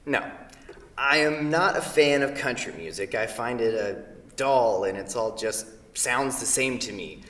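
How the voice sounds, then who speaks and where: A man reading the part of an awkward Midwestern writer in a staged play, hesitant and dryly defensive. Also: high voice. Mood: exasperated, sardonic. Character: dry, awkward Midwestern freelance writer named Kent. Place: theatre, recorded from a distance. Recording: distant mic in echoey hall.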